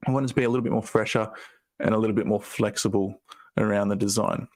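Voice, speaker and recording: Low, gravelly man, croaky voice, rambling casual presenter. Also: fairly even intonation, fast talker, a little mellow. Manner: loose, rambling, with fillers and restarts. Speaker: co-founder presenting update.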